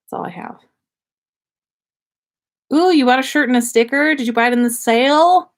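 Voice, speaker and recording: A woman's voice: high-pitched, normal speed. A woman hosting a livestream in casual chat, rambling and effusive, with slangy talk and free swearing. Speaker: podcast host.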